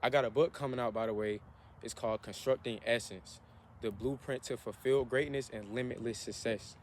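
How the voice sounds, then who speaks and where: A man's voice: mid-range voice, fairly even intonation, brisk. An earnest young man in his twenties talks about self-improvement, looping back over his words as he goes. His voice sits in an ordinary middle range. Faint outdoor ambience and passing traffic hum behind him. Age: 20s. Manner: earnest, repetitive, self-motivating. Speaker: vlogger. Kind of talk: motivational vlog monologue.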